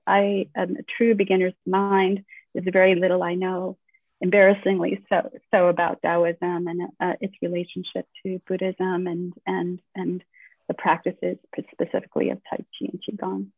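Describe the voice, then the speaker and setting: Female host, halting informal delivery, even-pitched and husky, tinny remote-call audio. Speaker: host interviewing a guest teacher. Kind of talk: informal spoken introduction and questions. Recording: thin phone-like call audio, roomy echo.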